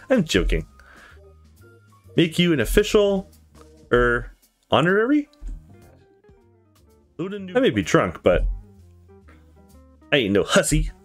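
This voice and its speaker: Man putting on a drunk brash young woman, swooping pitch. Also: wildly swooping pitch, slow, long pauses, faint music behind, exaggerated voice. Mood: drunken playful. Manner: tipsy, mock-ceremonial, bold. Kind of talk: visual novel dialogue read aloud.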